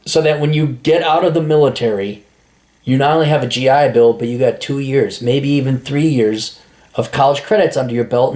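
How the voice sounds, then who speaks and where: Male podcast host, slightly high voice; blunt, crude, off-the-cuff advice. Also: a little mellow. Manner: blunt, profane, rambling with restarts. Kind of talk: casual consulting monologue.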